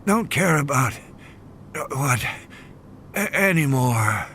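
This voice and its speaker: Audiobook role: weary delirious man, acted by a male narrator, broken phrases, pitch lifting and swaying. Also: animated, gravelly, a bit bright, slight background noise, full character voice. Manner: halting, fragmented speech. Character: feverish, delirious dying man, drifting and weary. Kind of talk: dramatic audiobook character reading.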